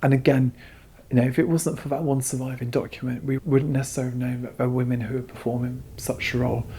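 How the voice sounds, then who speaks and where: A man's voice: mid-range voice, level tone, quick pace, mellow, a little hiss or hum. A man speaking as a curator in an interview, giving long, discursive explanations. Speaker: exhibition curator, interview guest.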